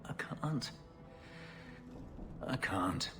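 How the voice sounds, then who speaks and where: An actor playing a brooding, tormented elf warrior who was once a slave, speaking gravely and haltingly. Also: male voice, over music. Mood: troubled, overwhelmed.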